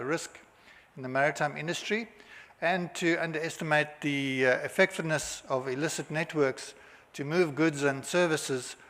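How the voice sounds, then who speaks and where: A man with a South African accent giving a halting conference presentation, full of filler sounds and restarts. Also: a little high, a bit bright. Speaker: conference speaker. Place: hotel conference hall. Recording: long hall reverb.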